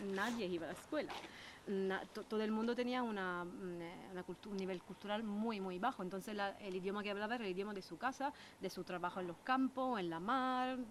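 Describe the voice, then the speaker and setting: A woman's voice: medium pitch, slight background noise. A young Sicilian woman with an Italian accent, talking casually in an interview and explaining with many fillers and restarts. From Sicily, Italy. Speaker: guest. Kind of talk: conversational interview in Spanish.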